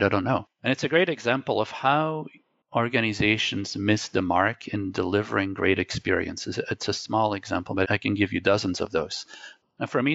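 A man from Belgium who grew up speaking Dutch walks through his points step by step, tagging them with a questioning "right?". His voice sits low with a gravelly edge. The sound is dry and slightly dull, like a lo-fi call. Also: fairly bright tone, no room sound. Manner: explains step by step, asks rhetorical questions with "right?". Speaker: tech company guest. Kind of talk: conversational explaining.

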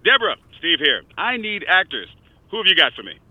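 An actor playing a cynical, brusque producer on a muffled, noisy phone call, speaking curtly and bluntly. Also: male voice, a little high, sing-song, a little fast. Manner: curt, blunt.

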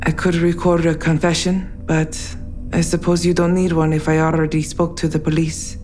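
An actress playing a resigned, guilt-ridden woman who calmly accepts her fate, in an audio drama. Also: low-pitched, moderate pace, lightly acted. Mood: calm resignation. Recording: music clearly underneath.

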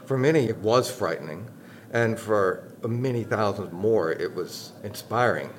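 A man's voice: lowish voice, animated, a little slow, a little hiss or hum. A man speaking reflectively in an interview as an opera composer.

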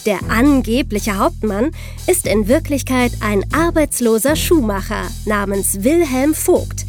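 A woman's voice: on the high side, expressive, a little slow, a little mellow, faint music behind. A woman co-hosting a podcast, moving between scripted historical narration and casual banter. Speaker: podcast co-host.

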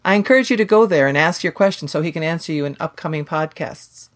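A woman hosting a podcast, explaining things in a measured way. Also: sits low. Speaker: podcast host. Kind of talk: casual podcast chat and scripted intro.

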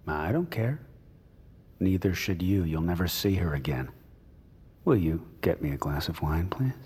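A deep, husky man's voice hangs in long reverb. He is a possessive, quietly menacing audio drama villain, curt and commanding, with no warmth at all. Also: sing-song, moderate pace, full character voice. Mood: callous.

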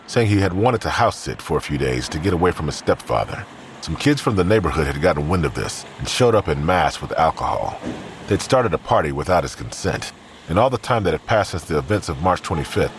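Male narrator, very deep pitch, scripted drama narration, noticeable ambient noise behind. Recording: clearly noisy background ambience.

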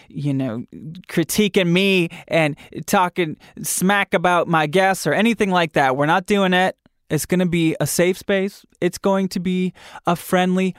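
A man from Michigan hosting a podcast in an animated, campy and chatty way, close to the mic. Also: fairly high pitch, no echo. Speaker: podcast host. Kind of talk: casual podcast chat.

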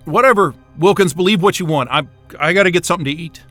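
An actor playing a defiant, indignant astrophysicist trapped at a polar station in a science-fiction audio drama, sputtering and cursing. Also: male voice, high-pitched, fast, a bit bright, faint music behind. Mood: groggy, evasive.

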